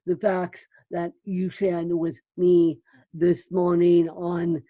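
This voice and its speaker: A woman hosting an interview podcast, speaking deliberately as she puts questions to a guest. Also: fairly low pitch, flat delivery, no echo, lo-fi sound. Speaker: podcast host.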